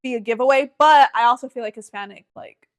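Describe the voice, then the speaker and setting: A woman's voice: on the high side, fast. A young woman from Texas co-hosting a podcast, chatting in a laid-back way with lots of fillers. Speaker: podcast co-host. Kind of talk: casual chat.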